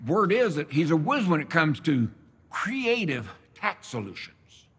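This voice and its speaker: A man playing an older dermatologist with a husky voice and an earnest, eager way of asking. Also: a little high, sing-song, unhurried, a bit bright, long reverb tail, heavily acted. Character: nervous older male dermatologist seeking tax tricks.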